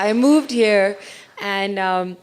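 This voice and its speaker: A woman's voice: medium pitch, slow pace, a faint crowd, a little hiss or hum. A woman in her thirties from India, with an English accent mixed from a childhood abroad, giving a chatty, self-mocking talk on stage. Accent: mixed international English, from a childhood abroad. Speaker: speaker. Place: stage talk to a live audience.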